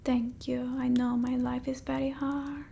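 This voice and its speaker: A melodramatic, aggrieved vampire woman murmurs. Her voice is high and mellow. She draws her words out slowly and theatrically. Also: level tone, a little hiss or hum. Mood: self-pitying. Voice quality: soft, breathy whispering. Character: petulant, melodramatic female vampire from an old village. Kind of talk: ASMR roleplay, mostly whispered.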